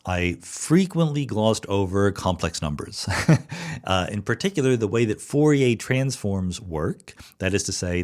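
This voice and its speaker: A man's voice: low-pitched, darkish tone. A man hosting a podcast, giving informal, off-the-cuff explanatory answers and qualifying his points as he goes. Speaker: podcast host. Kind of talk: off-the-cuff spoken answers to listener questions.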